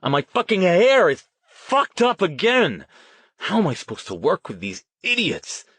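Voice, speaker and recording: A man's voice: on the low side, sing-song, a little fast, no room sound. A man voicing a vain, hot-tempered ghost-show host with a projected, theatrical delivery, in an audiobook. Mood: angry, snapping.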